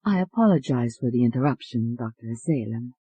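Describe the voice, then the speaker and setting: A narrator voicing a gentle, polite young woman with an Italian accent, speaking softly in an audiobook. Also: very deep voice, expressive, a little fast, husky, dry recording, full character voice. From Italy. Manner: soft and polite. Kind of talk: audiobook character voice.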